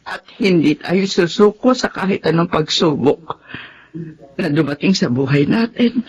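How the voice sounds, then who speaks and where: An actress playing an ailing, grief-stricken mother in a radio drama, speaking haltingly and emotionally. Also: lowish voice, lively intonation, darkish tone, slightly rough. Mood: gentle, hesitant. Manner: halting, emotional, stammering delivery. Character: ailing, grief-stricken mother breaking hard news to her child. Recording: dull lo-fi radio drama with background music.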